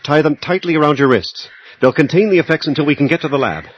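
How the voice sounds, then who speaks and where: A man playing an anxious scientist doctor in a radio drama, deliberate and urgent in narration and dialogue. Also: a little high, no room sound, natural voice. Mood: urgent, instructing. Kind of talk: radio drama acting with first-person narration. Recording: dull, noisy lo-fi audio drama recording.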